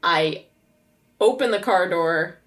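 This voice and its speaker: A woman's voice: on the low side, animated, slow pace. A woman playing a tabletop game, chatting casually and describing her character's actions in a playful, wry way.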